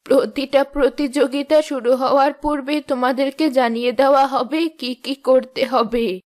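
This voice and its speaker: A woman's voice: sits high, steady tone, normal speed, a little mellow, natural voice. A woman playing a commanding, firm mother-in-law who runs her household in a fairy-tale cartoon. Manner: bossy, declarative, firm.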